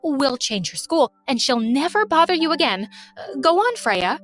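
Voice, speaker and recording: A woman's voice: sits high, very animated, normal speed, a bit bright. A woman voicing an anxious parent who stammers while pleading for forgiveness.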